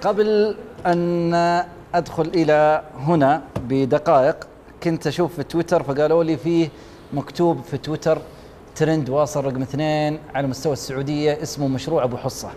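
Fast-talking man judging on TV. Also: on the high side, dark tone, big-room sound, a little hiss or hum. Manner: enthusiastic, lists points and praises. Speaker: judge on a talent show panel. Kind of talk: evaluating a contestant on live TV. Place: TV studio set. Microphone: lapel mic.

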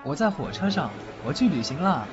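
A man playing a calm, knowing godfather who gives unhurried advice in an audio drama. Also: a little high, over loud music. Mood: casual. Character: calm, knowing godfather, a ghost-fighting healer. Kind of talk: Chinese audio drama acting. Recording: muffled audio with loud train noise.

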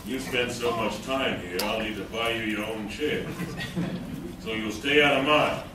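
A man's voice: medium pitch, unhurried, natural voice. An actor playing a cool, menacing male crime boss with dry delivery in a live radio play. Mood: cool menace. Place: large hotel conference room with seated audience. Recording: echoey noisy room, recorded from far off.

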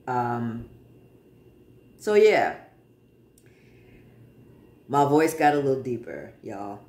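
A man in his forties chatting casually to camera from a living room, relaxed, with many pauses and fillers. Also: medium pitch. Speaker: vlogger. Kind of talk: casual personal update to camera. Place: living room in front of a sofa, indoors. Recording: roomy, echoey home room.

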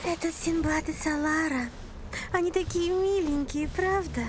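A woman voicing a small, mischievous, vengeful female genie in an animated film, with a teasing, theatrical manner. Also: very high voice, a little slow, noisy background. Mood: coaxing.

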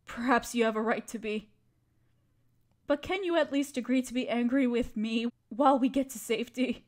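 A woman playing a gentle, anxious, motherly spider queen in an audio roleplay, speaking tenderly and pleadingly, with careful phrasing. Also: a little high, normal speed, close to an everyday voice. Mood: apologetic, pleading. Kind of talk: scripted audio roleplay addressed to listener.

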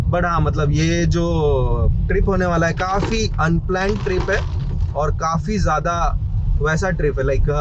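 An Indian man in his thirties talks in a casual vlog style with a slightly high-pitched voice. He is informal and tends to repeat points for emphasis. Clear vehicle and outdoor noise surrounds him as he records on a camera. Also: dull audio. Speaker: travel vlogger. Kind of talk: casual vlog chat mixing Hindi and English. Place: inside a car and on a rainy roadside. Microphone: camera at arm's length or on dashboard. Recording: noisy vehicle and outdoor ambience.

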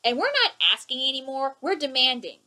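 A woman's voice: high-pitched, lively intonation, fairly quick, bright, forceful tone. Fired-up young woman ranting at her webcam. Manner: indignant, sarcastic, gestures a lot. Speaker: vlogger. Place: living room, indoors. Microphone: webcam at close range.

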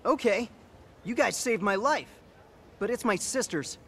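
Very high, flustered teenage boy. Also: animated, measured pace, a bit bright, a little hiss or hum, heavily acted. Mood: earnest. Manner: exasperated, protesting, youthful. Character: earnest, flustered, excitable teenage little brother. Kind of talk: animated video game cutscene acting.

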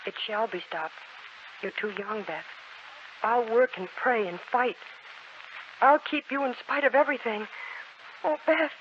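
A woman's voice: a little high, a little slow, pauses often, lightly acted. Actress as an earnest young woman, muffled old radio. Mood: tender, distressed. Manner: emotional, earnest. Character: earnest, headstrong young woman, anguished and tender. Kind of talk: 1940s American radio drama acting. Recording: muffled, noisy 1940s radio recording.

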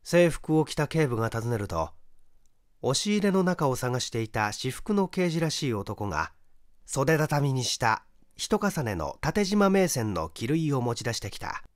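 A man reading a literary mystery as dramatised narration, in a formal, measured storytelling manner. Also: medium pitch, sing-song, no echo, studio quality. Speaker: audiobook reader, stage actor.

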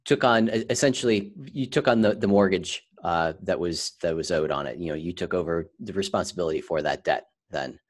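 A man hosting a casual interview, repeating words haltingly as he forms his sentences. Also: deep voice. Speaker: podcast host, interviewer.

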